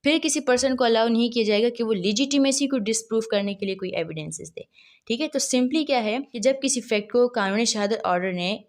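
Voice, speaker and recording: A woman with a Pakistani accent teaches in a fairly high-pitched voice. She explains step by step like a lecturer, posing questions and answering them herself. Key phrases come back repeatedly for emphasis. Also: fairly quick. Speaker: law lecturer. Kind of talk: explanatory lecture mixing read-out provisions with explanation.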